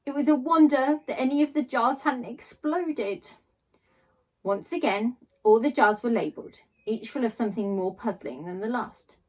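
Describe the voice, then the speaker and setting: Expressive woman reading a children's storybook. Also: fairly high pitch, rough recording. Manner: theatrical, with dramatic pauses and drawn-out emphasis.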